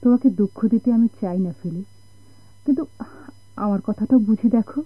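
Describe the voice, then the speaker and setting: A woman with a Bangladeshi accent playing a gentle, sorrowful sailor's wife in a radio drama, with a plaintive, hesitant delivery. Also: mid-range voice, a little fast, breathy edge, dry recording, natural voice. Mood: tender, hesitant. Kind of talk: Bengali radio drama acting. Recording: dull, narrow-band old radio recording.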